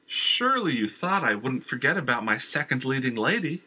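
A man's voice: mid-range voice, very animated, normal speed, bright, forceful tone, husky, pitched above the performer's own voice, exaggerated voice, low-quality recording. A man voicing a smug, mocking theater owner. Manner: mocking, falsely wounded.

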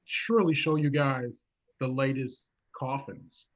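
A man's voice: medium pitch, unhurried, lightly acted. Man playing a polite funeral home owner, slightly muffled. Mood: polite, hesitant. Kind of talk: improvised comedy scene.

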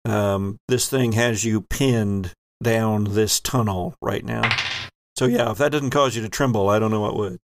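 A man's voice: lowish voice, measured pace, noisy background. A man acting as game master, narrating a horror tabletop game in a descriptive, suspenseful way.

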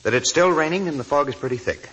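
An actor playing a kind, bashful young lieutenant in a 1940s radio drama, speaking in halting, awkward phrases. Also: male voice, on the high side, animated, a bit bright. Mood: shy, friendly. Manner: hesitant, halting. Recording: noisy vintage 1940s radio broadcast.

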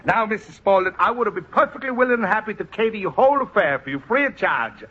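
An actor playing a boisterous, conniving middle-aged Italian immigrant restaurant owner in a radio sitcom, with a thick Italian accent and blustering broken English. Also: male voice, high-pitched, expressive, fast, pushed and bright, breathy edge, exaggerated voice. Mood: ingratiating. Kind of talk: old-time radio sitcom acting. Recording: dull, noisy vintage radio recording.

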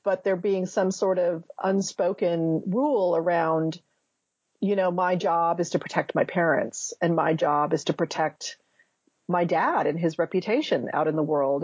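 A woman's voice: fairly low pitch, darkish tone, husky, no echo, muffled recording. A woman in her fifties, a memoir author answering interview questions thoughtfully, searching for words and restarting often. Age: 50s.

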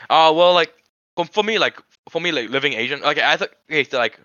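A man's voice: on the high side, expressive, fairly quick, sharp tone. A teenage boy hosting a casual podcast chat, rambling with lots of restarts and filler words. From Korean family, grew up in Sri Lanka. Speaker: podcast host. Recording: dull lo-fi recording.

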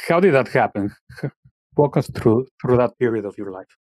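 A man hosting an interview, asking questions conversationally and a little haltingly, repeating words as he goes. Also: mid-range voice, lively intonation, a little mellow, dry recording. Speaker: interview show host.

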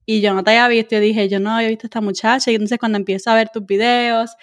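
A woman's voice: on the high side, little pitch movement, rapid-fire, no room sound, clean studio sound. A woman with a Puerto Rican accent hosting a casual interview, chatty and encouraging, mixing English words into her talk. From Puerto Rico. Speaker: interviewer, host.

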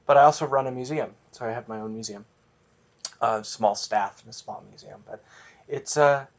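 A middle-aged man talking as a podcast guest in casual chat, halting and often restarting as he searches for words. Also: medium pitch, lo-fi sound.

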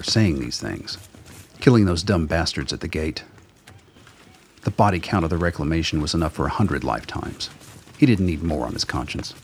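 A man's voice: sits low, a little hiss or hum. A man reading scripted narration for a radio drama.

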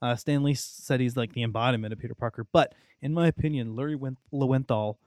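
A man's voice: medium pitch, fast, a little mellow, no echo, studio quality. A young man co-hosting a casual podcast, talking in a chatty, rambling way. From Texas, United States. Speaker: podcast co-host. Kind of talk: casual video game discussion.

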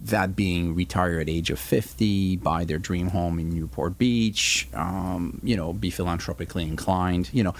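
A man from Switzerland with a Swiss accent, a guest wealth advisor giving measured, polite interview answers. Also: deep voice, slight background noise. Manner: measured, polite, laughs easily.

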